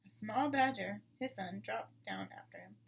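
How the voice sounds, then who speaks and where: A woman's voice: medium pitch, normal speed. A woman reading a children's book aloud as the narrator. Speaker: storybook reader. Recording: distant mic with clear background noise.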